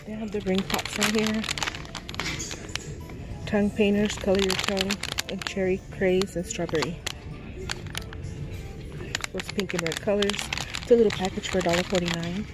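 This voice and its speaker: A woman narrating as she films store shelves, reading out products and prices with soft laughs. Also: medium pitch, little pitch movement, moderate pace, long pauses, low-quality recording. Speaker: vlogger. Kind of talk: casual shop-with-me narration. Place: large store aisle. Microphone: phone held while filming. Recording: music overlay loud behind voice. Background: store noise and music.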